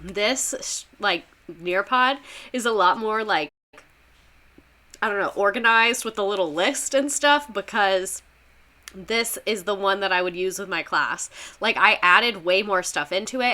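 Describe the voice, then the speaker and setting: A woman's voice: medium pitch, measured pace, sharp tone. A young woman teacher with a Southern US accent, giving a rambling, chatty history lesson on a livestream, full of fillers and laughs.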